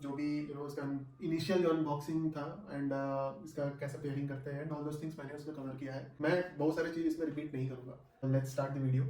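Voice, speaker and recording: A man's voice: on the high side, warm tone. Echoey, distant-miked man reviewing casually. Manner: conversational, mixes in English phrases, often checks with short tag questions. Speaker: vlogger reviewing gear. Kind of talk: casual product review to camera. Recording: echoey room, distant microphone.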